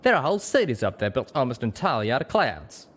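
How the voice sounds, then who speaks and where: A man's voice: medium pitch, big pitch swings, fast, fairly bright tone, voice pushed up. A man voicing a confident, teasing male pegasus pony with a folksy drawl, in an audio story. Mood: amused, proud.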